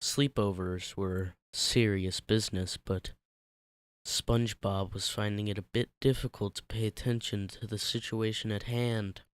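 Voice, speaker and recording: A man's voice: fairly low pitch, steady tone, unhurried, clean tone. A man narrating fan fiction as a dramatic scripted reading.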